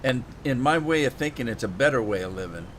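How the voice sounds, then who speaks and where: Folksy rambling man, voice going rough. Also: medium pitch, expressive, fast talker, a bit bright, slight background noise. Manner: rambling, folksy, restarts often. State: slightly losing his voice. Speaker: game streamer. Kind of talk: casual live-stream chat while playing.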